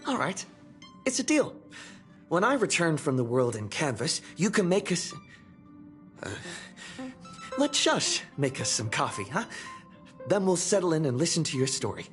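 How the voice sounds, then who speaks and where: Game role: weary young male traveler, casual and soft, lively intonation, clear music bed. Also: a little high, moderate pace, pauses often. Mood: agreeable. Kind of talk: video game story dialogue.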